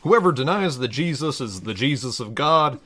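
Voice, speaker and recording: A man's voice: mid-range voice, expressive, normal speed, a bit bright. A man playing a theatrical, fervent Texan pastor with a drawl, preaching parody scripture.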